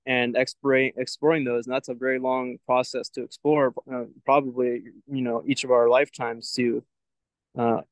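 A man's voice: mid-range voice, flat delivery, moderate pace, dry recording, poor audio. A young man as a podcast guest, talking in a thoughtful, searching way with many "like" fillers. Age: young adult. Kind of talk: reflective spiritual conversation.

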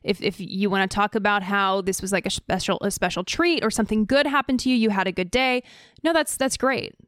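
Clear, smooth-voiced female podcast co-host. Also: mid-range voice, fairly quick, a bit bright, dry recording, studio quality. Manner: hesitant, earnest, repeats words as she searches. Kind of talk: casual opinion talk.

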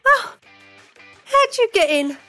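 A blunt office receptionist for an audio drama. Her high voice drags along slowly, offhand and bored, with faint music behind it. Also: sing-song, sharp tone, heavily acted. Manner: offhand, blunt, drawling, ungrammatical. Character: bored, blunt, unhelpful working-class office receptionist, young woman.

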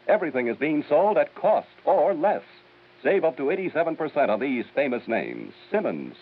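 50s local radio announcer guy doing emphatic sales pitch, animated, tinny w/ faint hiss. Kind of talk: 1950s radio commercial read. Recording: tinny, hissy 1950s radio recording.

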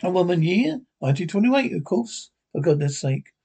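A man voicing an irritable, suspicious small-town housewife in a brusque, scolding way, with a husky voice. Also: high voice, sing-song, moderate pace, mellow, heavily acted. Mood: irritated.

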